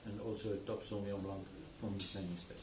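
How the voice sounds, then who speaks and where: A man from South Africa who speaks Afrikaans as his first language, talking about his wine estate in an unhurried, explanatory way with an accent. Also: lowish voice, level tone. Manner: unhurried, explanatory, with many fillers. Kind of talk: casual interview. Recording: roomy, distant mic, clear background noise.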